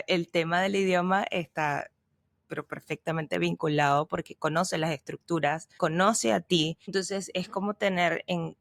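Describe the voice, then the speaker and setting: A woman co-hosting a podcast, casually explaining things with a Spanish accent mixed with Argentine, pausing and restarting as she talks. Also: lowish voice, steady tone, vocal fry, dry recording, clean studio sound. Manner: hesitant, restarts and fillers while explaining. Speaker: podcast co-host, language teacher. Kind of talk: casual conversational chat.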